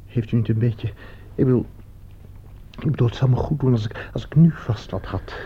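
An actor playing a nervous, unsure hired killer in a radio drama, halting and stammering over his words. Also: medium pitch, mellow, breathy edge. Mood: anxious, pleading. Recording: dull, noisy old radio play recording.

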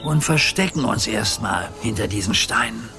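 An older actor playing a good-natured grandfather who pretends to be a ship's captain. He speaks calmly and cautiously, with gentle teasing, in a children's radio play. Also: lowish voice, normal speed, creaky, over loud music.

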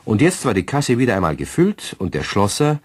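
A man's voice: fairly low pitch, sing-song, fairly quick, slightly rough, no room sound. A man narrating a children's radio play in scripted storytelling style.

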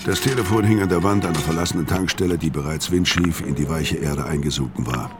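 A very low male voice plays a hard-boiled killer narrating a radio crime play. He is terse, weary and matter-of-fact. Music runs clearly underneath. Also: fast. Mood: grim, matter-of-fact. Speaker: narrator and lead actor in a radio crime drama. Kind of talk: scripted first-person noir narration in a radio play.

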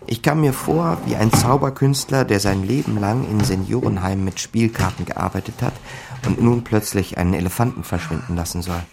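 A man's voice: fairly low pitch, a little fast, gravelly, heavy background noise. A man acting as a wry small-time crook, narrating his own misadventure dryly in a radio play. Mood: wry, tense. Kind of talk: scripted first-person narration in a crime radio play.

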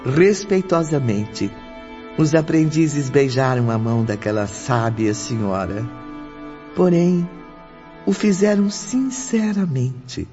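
A man's voice: mid-range voice, expressive, slow pace. A man narrating a radio drama in a solemn, literary style. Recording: dull sound, music behind.

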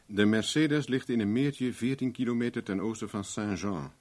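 An actor playing a cool private investigator in a radio play, dry and nonchalant with an ironic edge. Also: male voice, fairly low pitch, normal speed, a little mellow, natural voice. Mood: businesslike. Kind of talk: 1970s Dutch radio play acting.

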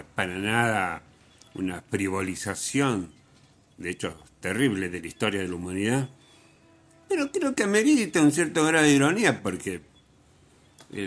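Man, Buenos Aires accent, radio host, ironic monologue, swinging intonation, slightly deep. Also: a bit bright. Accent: Buenos Aires Argentine Spanish. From Argentina. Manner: rambling, sardonic, colloquial.

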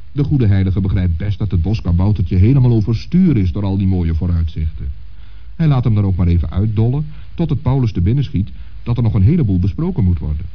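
A low, husky-voiced man narrates a children's story from a script. The recording is dull, like an old radio play.

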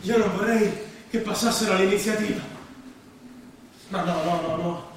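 An actor playing an earnest, anguished bookish professor in a stage drama, speaking with pleading emotion. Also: male voice, high-pitched, moderate pace, natural voice. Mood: subdued, grave. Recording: echoey theatre stage from far off, noisy.

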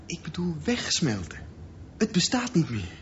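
A man's voice: fairly high pitch, huge pitch swings, measured pace, dull audio, slight background noise. An actor in a Dutch radio drama playing an earnest, dreamy and insistent sixteen-year-old boy.

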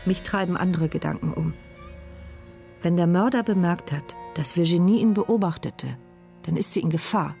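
A woman's voice: on the low side, normal speed, vocal fry, dark tone, faint music behind. An actress playing a paralysed, bitterly sarcastic woman who narrates her thoughts as an intense inner monologue in a radio drama. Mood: anguished.

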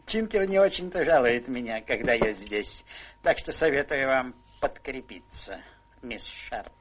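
An actor playing a miserly, crude old country baronet in a radio play, with a sly, teasing, drawling manner. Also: male voice, medium pitch, animated, a little slow, a little hiss or hum, lightly acted. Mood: jovial. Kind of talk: theatrical radio play acting.

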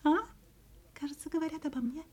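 Woman playing a high, mellow, sly she-fox. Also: steady tone, a little fast, natural voice. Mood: wary, hushed. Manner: scheming, self-satisfied musing to herself. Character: sly, self-assured female fox disguised in old women's clothes. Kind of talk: Soviet audio fairy-tale acting.

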